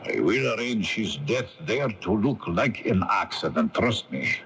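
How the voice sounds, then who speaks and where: An actor playing a scheming, commanding Egyptian conspirator who speaks low and hushed in a radio drama. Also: male voice, expressive, measured pace. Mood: cold, plotting. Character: scheming, coldly murderous Egyptian brother, secretive and commanding. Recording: hissy early-1980s AM radio broadcast.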